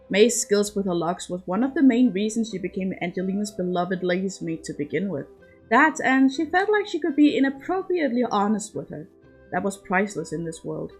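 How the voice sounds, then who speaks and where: A woman reading scripted fiction aloud as narrator, with a careful, expressive storytelling manner. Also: mid-range voice. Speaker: podfic reader.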